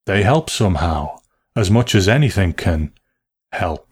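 A man's voice: sits low, lively intonation, breathy edge, full character voice. Man playing an anxious, over-agreeable father. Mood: interested, musing. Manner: nervous, halting, over-eager reassurance.

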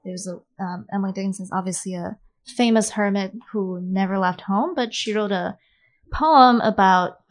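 A woman whose first language is not English, answering a panel question in a thoughtful, measured way with many fillers. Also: medium pitch. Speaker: author on a panel.

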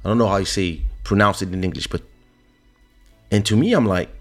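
A man's voice: lowish voice, fairly quick, soft background music. A man who grew up in France, speaking as he hosts a casual, opinionated monologue to viewers, emphatic and repetitive, with rhetorical questions. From born in Africa, grew up in France. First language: French. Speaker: online show host.

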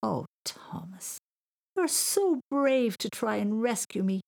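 Caring older girl for a storybook, read by a woman: creaky voice, affectionate, playful, gently teasing. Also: a little high, sing-song, unhurried, dry recording, studio quality, pitched above the performer's own voice, heavily acted. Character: kind, teasing older girl looking after little boy. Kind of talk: children's story reading.

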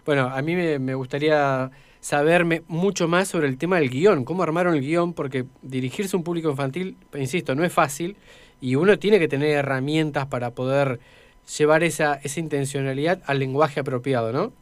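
A man's voice: fairly high pitch, fairly quick, no echo. An Argentine man hosting a conversational radio interview in a chatty, informal way. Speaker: radio host.

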